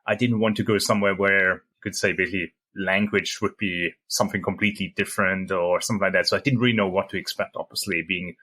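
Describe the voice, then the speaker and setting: A man's voice: low voice, steady tone, fast talker, hardly any pauses, pushed and bright, breathy edge. A middle-aged man from Germany, a German native speaker, talking as an interview guest in a hesitant way with many fillers and restarts. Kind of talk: casual interview chat.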